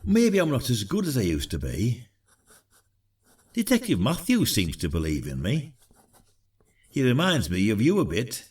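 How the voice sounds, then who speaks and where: A man's voice: medium pitch, huge pitch swings, measured pace, pauses often, breathy edge, heavily acted. A man playing a blunt, ageing retired detective from the working class in a podcast drama, with a Yorkshire accent. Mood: wistful. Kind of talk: podcast audio drama acting.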